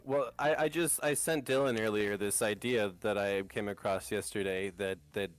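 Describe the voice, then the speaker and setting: A man's voice: on the low side, fairly even intonation, normal speed. A young man explaining an idea hesitantly in a casual group chat.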